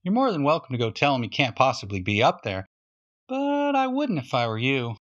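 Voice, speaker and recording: A man's voice: medium pitch, very animated, a little fast, a bit bright, highs cut off. A man playing a glum, plaintive young boy. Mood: glum, knowing. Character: Johnny, glum young boy, slyly warning. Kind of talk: children's storybook reading.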